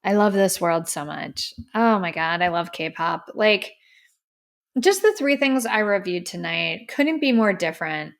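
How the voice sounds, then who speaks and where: Slightly hoarse woman, gravelly podcast host. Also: fairly low pitch, expressive, a little slow. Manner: earnest, effusive, rambling. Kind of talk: solo podcast sign-off. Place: in front of a camera. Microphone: studio microphone.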